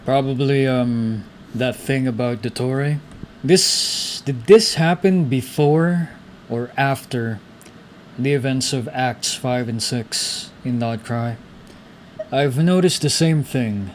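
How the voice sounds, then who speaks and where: Casual male gamer, mid-pitched. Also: takes it slowly. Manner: informal, slangy. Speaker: gaming content creator. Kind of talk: casual playthrough commentary, reading game text aloud. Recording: faint background noise from game.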